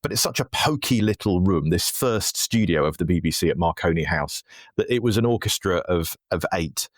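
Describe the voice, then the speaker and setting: A man's voice: low voice, animated, normal speed, dry recording, clean studio sound. A British man chatting enthusiastically in an interview as a writer and historian, rambling and interrupting himself. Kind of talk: enthusiastic anecdotal interview chat.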